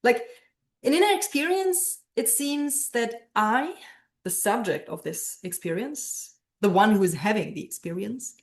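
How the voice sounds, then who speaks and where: A woman's voice: fairly high pitch, lively intonation, a little slow. A woman speaking as an interviewed philosophy professor, explaining ideas in a thoughtful way and posing questions to herself.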